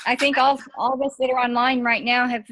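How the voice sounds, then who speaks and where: A mature woman from Oregon talks casually as a student in an online class. Her voice is fairly high and recorded very dry. She has a folksy, good-humored way of talking. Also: little pitch movement, brisk, a bit bright, no echo. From Oregon, USA. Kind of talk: casual chat. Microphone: online class call.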